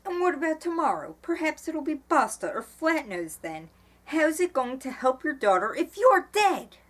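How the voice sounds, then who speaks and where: A woman voicing an indignant, sharp-tongued older woman in a storybook, scolding and emphatic. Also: lowish voice, big pitch swings, normal speed. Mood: exasperated.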